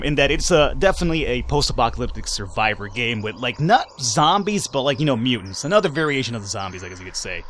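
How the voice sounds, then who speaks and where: Young male let's player, rambling commentary, slightly hoarse voice, faint game sounds underneath. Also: mid-range voice, fairly bright tone, slight background noise. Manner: rambling, filler-heavy, joking. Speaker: let's play host. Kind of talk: casual gameplay commentary.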